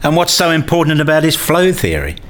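A man's voice: a little high, sing-song, a little fast, a bit bright. A man acting in a radio play as a weary, wryly self-mocking academic father who narrates his own story. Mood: wry, hesitant. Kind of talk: first-person narration and acted dialogue.

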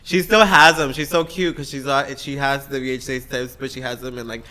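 A man's voice: mid-range voice, fairly even intonation, fairly quick, pushed and bright. A man talking casually as a podcast guest, chatty and informal, sometimes slipping in Spanish. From East San Jose, California, raised there from age three. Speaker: podcast guest, activist performer. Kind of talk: casual chat.

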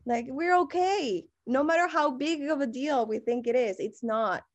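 A woman from Mexico with a Mexican Spanish accent, a guest on a podcast, talking casually in a perky, animated way. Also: high-pitched, normal speed, no echo. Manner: perky, animated, laughs often. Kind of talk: casual conversation.

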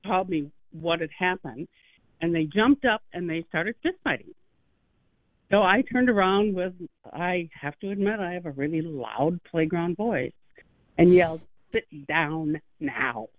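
A woman's voice: fairly low pitch, no echo. A middle-aged woman calling in to a radio show, telling a story earnestly and with emphasis. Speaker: caller. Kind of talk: phone-in call. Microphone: phone. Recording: phone line.

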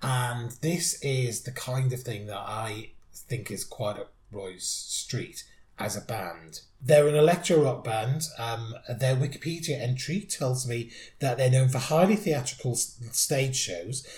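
A man's voice: medium pitch, expressive, a little slow, a little mellow. A man co-hosting a podcast, chatting thoughtfully about songs, with frequent restarts. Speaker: podcast co-host. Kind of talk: casual chat reviewing songs. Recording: roomy echo.

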